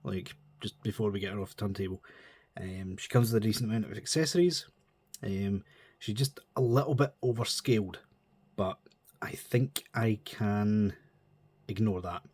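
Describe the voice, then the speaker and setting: Stuffy-nosed man, low voice. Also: moderate pace, a little mellow, breathy edge, dry recording. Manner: chatty, rambling, informal. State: stuffy nose from a cold. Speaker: model kit reviewer. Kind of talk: casual chat.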